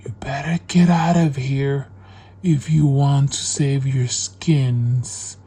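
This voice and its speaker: Woman as a low, husky, watchful cat. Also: steady tone, takes it slowly, warm tone, heavily acted. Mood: urgent warning. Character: small, watchful male farm cat, urgent.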